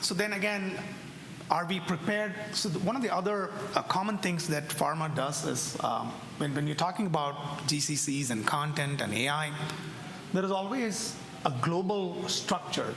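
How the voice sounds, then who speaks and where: A man moderating a conference panel, halting and questioning, often restarting his sentences. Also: fairly high pitch. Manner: halting, many fillers and restarts, posing questions. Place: large hotel ballroom. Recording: echoey ballroom with clear background noise.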